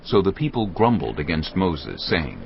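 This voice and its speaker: A man narrating scripture in a measured, steady storytelling voice. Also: deep voice, gravelly, slight background noise. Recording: muffled, with music underneath.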